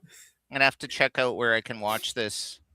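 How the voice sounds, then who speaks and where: Male co-host, bright clean voice, close dry sound, conversational, a bit hesitant and self-correcting. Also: mid-range voice, steady tone, normal speed, clear, resonant tone, dry recording. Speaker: co-host of a video game countdown livestream. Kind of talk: casual unscripted chat.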